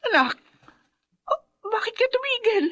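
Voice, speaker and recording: Frightened mother for radio horror: urgent, panicky, sharp high voice. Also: big pitch swings, unhurried, muffled recording, full character voice. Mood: urgent, pleading. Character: frantic, frightened mother protecting her daughter. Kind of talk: radio horror drama acting.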